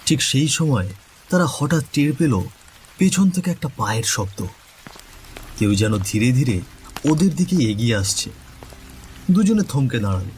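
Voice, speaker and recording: Male narrator for a cartoon story: medium pitch, lively melodic delivery, scripted. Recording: faint background noise.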